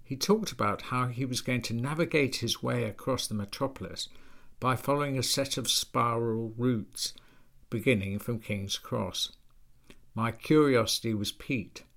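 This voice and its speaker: A man's voice: mid-range voice, normal speed, vocal fry, a bit bright. A man hosting an interview podcast, speaking as a presenter and interviewer. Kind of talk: scripted narration and field interviewing.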